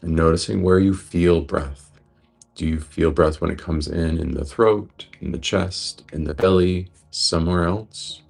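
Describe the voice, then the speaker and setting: A man guiding a breathwork meditation in a gentle, unhurried, reassuring manner. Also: low voice, fairly even intonation, creaky, breathy edge, faint music behind. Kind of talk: guided meditation instruction.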